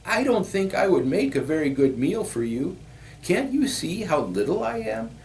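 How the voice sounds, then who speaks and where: A man puts on a small young billy goat for a children's storybook. The voice is pushed high and swoops about, piping and pleading. He sounds timid but crafty, over faint background noise. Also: very animated, moderate pace, a little mellow, far from the mic, poor audio. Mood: frightened, pleading. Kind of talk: storybook character voice.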